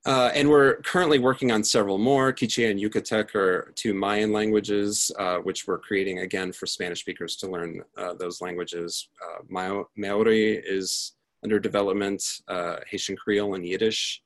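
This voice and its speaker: Low-pitched man giving a talk. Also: fairly even intonation, normal speed, fairly bright tone. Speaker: conference speaker, tech research lead. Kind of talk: prepared talk to an audience.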